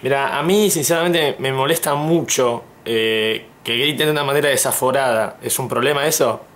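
A young man with an Argentine accent speaking casually and haltingly, as if on a prank phone call. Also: medium pitch, a bit bright. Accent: Argentine Spanish. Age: young adult. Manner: hesitant, halting, polite. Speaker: prank caller, YouTube host. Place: small indoor room at home.

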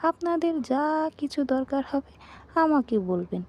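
A woman voicing an obliging longtime housemaid in a horror cartoon, speaking in a deferential, deliberate way. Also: high-pitched, sing-song, gravelly, a little mellow, heavily acted.

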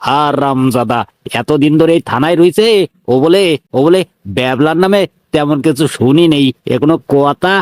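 A man's voice: on the high side, moderate pace, a little mellow, no echo, lightly acted. A man voicing a brash, bullying cartoon police inspector, blunt and threatening, with a Bangladeshi regional Bengali accent. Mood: smug, determined. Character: brash, bullying male police inspector, tough and self-righteous. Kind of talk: comic cartoon dialogue.

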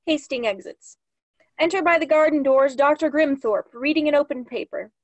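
A woman's voice: sits high, moderate pace, no echo. A young woman reading stage directions as scripted narration. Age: young adult. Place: small lit room at home, on a video call.

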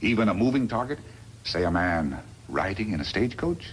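A calculating villain of a 1950s radio western, a crooked lawyer who gives orders. His lowish voice swings expressively with smug insinuation. A faint layer of vintage noise sits over the recording. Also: big pitch swings, a little fast. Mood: sly, plotting.

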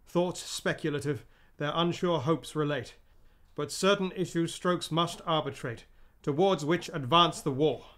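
An actor playing a sober, resolute noble general in a classical radio drama, speaking formal oratorical verse. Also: male voice, on the high side, unhurried, a bit bright.

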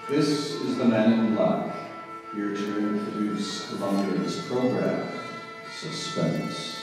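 A man playing a sinister, measured host of an old-time radio suspense drama. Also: fairly low pitch, over music. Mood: ominous. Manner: slow, measured, ominous delivery. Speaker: announcer and narrator. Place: small stage hall before an audience. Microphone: distant camera. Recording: echoey hall from far off.

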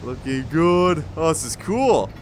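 High-pitched young man doing excited gameplay commentary. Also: a little slow, a little hiss or hum. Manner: playful, exclamatory, talks along while moving in a VR game. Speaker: gaming video host.

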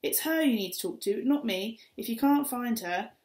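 A woman's voice: fairly high pitch, expressive, normal speed, a little mellow, natural voice. A woman voicing a shifty, wary adult male stranger in an audiobook, evasive and defensive. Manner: evasive, defensive, streetwise slang. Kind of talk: audiobook character reading. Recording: roomy echo.

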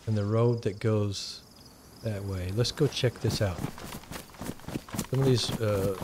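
A man with a Texan accent giving relaxed, rambling commentary and thinking aloud while he plays a survival game. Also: on the low side, slow pace, noisy background. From Texas. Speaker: gaming channel host. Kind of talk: casual gameplay commentary.

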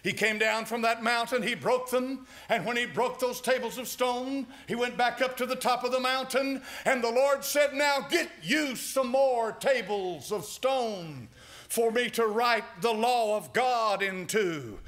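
An older man preaches from a church pulpit in an American accent. His voice sits very high and bright, and he swings from steady teaching into fervent shouts. He speaks into a pulpit mic. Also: measured pace. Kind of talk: sermon. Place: church sanctuary.

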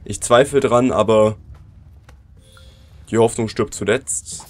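A man's voice: fairly low pitch, steady tone, slow pace. A man with a cold and a sore throat, casually commenting on his gameplay and talking straight to his viewers from his computer. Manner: chatty, addresses viewers directly. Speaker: let's play commentator.

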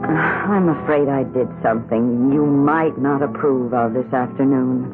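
A woman's voice: a bass voice, measured pace, over music. A woman playing a fretful, dissatisfied suburban wife in a 1970s radio drama, by turns hesitant and insistent. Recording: narrow old radio recording.

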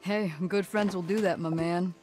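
A woman's voice: lowish voice, fairly even intonation, normal speed, a little mellow, lightly acted. Woman doing chill hippie cult boss for a cartoon game, slangy but smug n preachy, bit of music behind. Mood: chill, welcoming. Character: smug, laid-back leader of a hippie cult.

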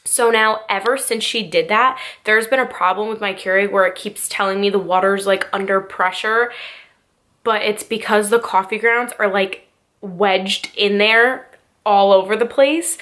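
A woman's voice: medium pitch, fairly even intonation, a little slow, a bit bright. A young woman in her twenties vlogging casually to camera from her bedroom, a bit nasal from a cold, rambling with lots of fillers. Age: 20s. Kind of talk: casual vlog chat to camera. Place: small bedroom, indoors. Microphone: phone or camera at arm's length.

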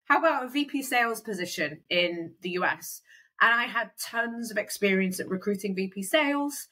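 Bright-voiced woman, chatty guest. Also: mid-range voice, animated, normal speed. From Wales. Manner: chatty, lots of fillers like "kind of" and "um". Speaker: recruitment company founder, guest. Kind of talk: conversational interview.

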